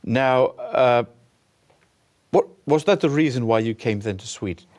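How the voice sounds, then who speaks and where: Halting male talk show host. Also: mid-range voice, expressive, a little mellow. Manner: hesitant, halting with fillers and restarts. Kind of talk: interviewing a guest.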